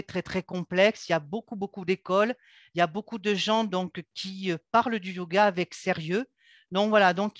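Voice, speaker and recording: A woman's voice: mid-range voice, dry recording. Woman yoga teacher talking it through, lots of ums and restarts, dead dry room. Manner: hesitant, many filler sounds and restarts. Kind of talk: unscripted personal account.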